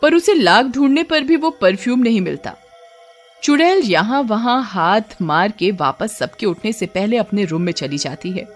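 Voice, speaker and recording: A woman's voice: medium pitch, expressive, moderate pace, soft background music. A woman storyteller giving a dramatic scripted narration of a horror tale.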